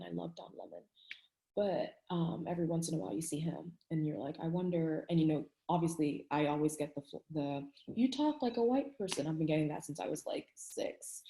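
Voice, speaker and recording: A young woman speaks candidly on a video call from her living room, her voice very low with a gravelly fry. The room bounces it back with a noticeable echo, and she talks in a chatty, self-aware way. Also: darkish tone. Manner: candid, chatty, laughs at herself. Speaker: panelist. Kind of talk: personal reflection on a video call. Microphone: laptop webcam video call.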